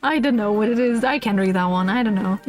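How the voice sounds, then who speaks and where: A woman's voice: on the high side, fairly quick, faint music behind. A woman streamer reading a comic list aloud on a livestream, giggly and often breaking off into casual asides.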